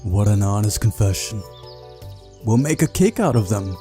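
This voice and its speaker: A man voicing a brisk, bossy, sly female camp leader in a visual novel, in a mellow voice. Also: lowish voice, lively intonation, soft background music, close to an everyday voice. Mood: teasing.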